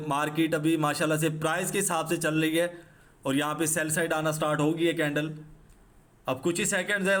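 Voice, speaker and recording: A man's voice: a little high, a bit bright. A man with a Pakistani accent and a sore throat, teaching in an unscripted, repetitive screen-share tutorial style and mixing in English terms. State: sore throat, clearing it now and then. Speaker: trading instructor.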